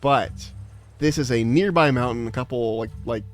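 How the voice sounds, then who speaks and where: A man's voice: medium pitch, normal speed, soft background music. Male streamer, casual and talkative, laughs a lot, mid-range voice. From Inland Empire, California, USA. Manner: chatty, laughs often, reads chat aloud. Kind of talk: casual live-stream chat.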